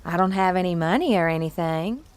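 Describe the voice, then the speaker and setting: A woman's voice: fairly low pitch, animated, fairly quick, clean tone, no room sound, natural voice. A woman playing an innocent, insecure young pageant contestant with a Southern US accent, sweet and earnest, in an audio comedy. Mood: earnest, naive. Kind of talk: audio comedy drama acting.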